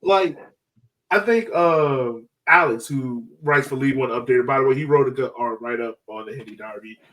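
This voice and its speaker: A man chatting casually as a sports fan podcast host, excited and slangy, swearing and repeating phrases for emphasis. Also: medium pitch, moderate pace, recorded from a distance, low-quality recording.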